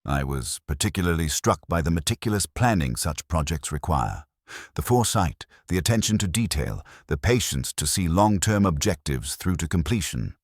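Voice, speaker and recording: A man voicing a courteous, thoughtful police inspector, calmly shrewd, speaking in a measured, formal way in an audiobook mystery. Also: deep voice, dry recording, clean studio sound. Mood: polite probing.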